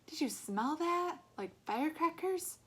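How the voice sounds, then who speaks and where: A woman's voice: sits high, expressive, a little slow, a bit bright, voice pushed up. Woman reading as a blunt, plucky young schoolboy. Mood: casual. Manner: blunt, casual, emphatic.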